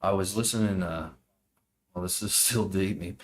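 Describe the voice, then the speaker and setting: Male co-host, low register, laid-back and agreeable, slangy chat over slight background noise. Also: normal speed. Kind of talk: casual chat.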